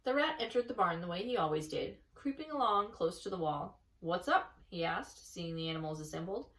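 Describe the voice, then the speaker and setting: A woman reading a children's story aloud in an expressive narrating style. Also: on the low side, a little fast. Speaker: story reader at an elementary school. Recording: echoey room, distant mic.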